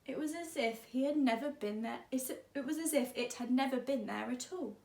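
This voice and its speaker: A woman teacher reading a children's story aloud, expressively and deliberately, drawing out words. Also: high-pitched, vocal fry, darkish tone, echoey room.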